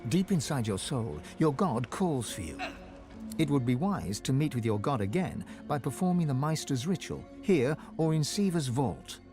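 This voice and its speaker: An actor narrating a dark fantasy video game in a solemn, vivid storytelling style. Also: male voice, mid-range voice, sing-song, moderate pace, music in the background, lightly acted. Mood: solemn, dramatic. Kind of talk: scripted second-person game narration.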